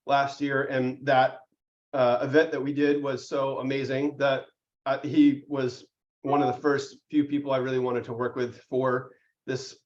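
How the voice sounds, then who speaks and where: A man's voice: on the high side, dull audio. A man hosting a live online baking class over a video call, chatty and upbeat, with lots of fillers and puns. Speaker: host.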